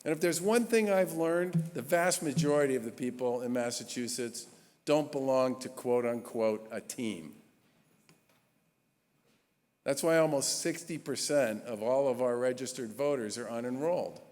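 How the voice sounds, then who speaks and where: A man in his sixties delivers an earnest gala introduction at a podium mic, leaning into key phrases and pausing between them. His mid-pitched voice carries a long reverb from a large church hall. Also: darkish tone. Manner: earnest, emphatic speaking with measured pauses. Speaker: state governor introducing an award honoree. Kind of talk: formal introduction speech at a gala.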